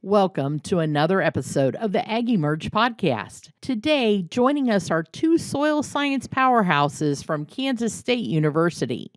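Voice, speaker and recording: A woman delivers a scripted podcast introduction. Her pitch moves up and down in a lively way, and the sound is dry, with no room echo. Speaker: podcast producer and announcer.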